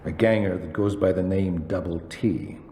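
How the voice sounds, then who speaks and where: Video game villain: cold, articulate crime boss, measured and formal, very deep, long reverb with noise behind. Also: male voice, mellow. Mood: calm, calculating. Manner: formal, measured, precise wording. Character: cold, menacing, articulate crime boss man hiring a killer. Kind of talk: video game cutscene dialogue acting. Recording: echoey reverberant space with background noise.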